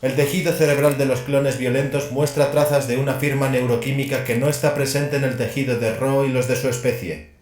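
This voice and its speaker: A man voicing an eccentric, detached machine-augmented adept in an audio drama, halting and clinical in his talk. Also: mid-range voice, fairly even intonation, a little mellow, roomy sound, slight background noise, pitched above the performer's own voice, close to an everyday voice. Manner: halting, clinical, mechanically repeats procedural words.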